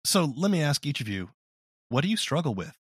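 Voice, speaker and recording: A man's voice: fairly high pitch, sing-song, brisk, husky, dry recording, studio quality. A man conducting a casual interview as the interviewer.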